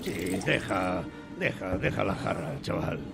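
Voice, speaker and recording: An actor playing a gruff, fearless pirate captain in a radio drama, with a gravelly, commanding drawl. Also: male voice, deep voice, big pitch swings, measured pace, full character voice. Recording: music behind the voice.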